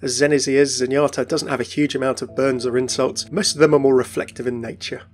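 A man's voice: mid-range voice, level tone, fast talker. A man narrating a scripted video about video game lore as its presenter.

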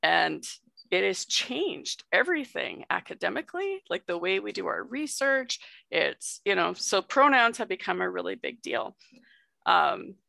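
Gravelly, bright-voiced young woman explaining. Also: fairly low pitch, no room sound. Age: young adult. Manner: explanatory, conversational, checks in often. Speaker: guest sex educator. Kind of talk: educational presentation on video call. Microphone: video call.

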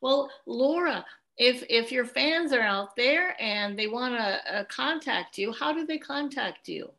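A woman's voice: a little high, animated, measured pace, vocal fry, pushed and bright. A woman hosting a podcast interview, enthusiastic and encouraging toward her guest. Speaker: podcast host. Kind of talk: casual interview chat.

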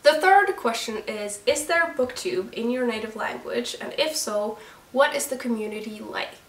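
A woman's voice: fairly high pitch, expressive, normal speed, slight background noise. A woman in her twenties from Norway with a Norwegian accent, talking steadily and in a friendly way to the camera in a book vlog from a room with candles and plants. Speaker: book vlogger. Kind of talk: scripted chat to camera. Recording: roomy echo, voice a little distant.

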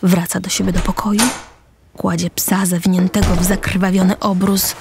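A woman narrating a radio drama deliberately, pausing between short phrases. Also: lowish voice, flat delivery, vocal fry, over music.

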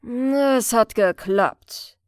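A woman voicing a sly, sardonic male police chief inspector in an audiobook, speaking in a deliberate, thoughtful manner. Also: fairly high pitch, no echo, studio quality. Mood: satisfied.

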